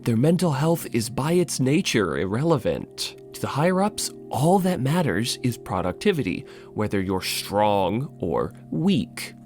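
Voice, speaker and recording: A man delivers scripted video essay narration with a very animated, rising and falling pitch. His manner is wry and informal, with dry casual asides. Faint music plays behind his voice. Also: a little slow, hardly any pauses.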